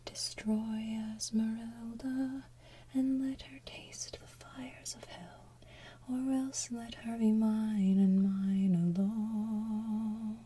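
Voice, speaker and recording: A woman delivers ASMR in a hushed, airy voice that is mostly whisper and soft singing. Her pace is very slow and gentle. The tone is extremely mellow, with a husky breathiness throughout. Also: fairly high pitch, fairly even intonation. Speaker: ASMR channel host. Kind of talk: ASMR soft singing of animated film villain songs.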